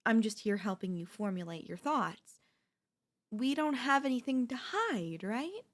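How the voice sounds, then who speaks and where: A woman playing an anxious, doting and quietly controlling wife in an intimate ASMR audio roleplay, with breathy, hesitant, coaxing delivery. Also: on the high side, big pitch swings, measured pace. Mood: calmly coaxing.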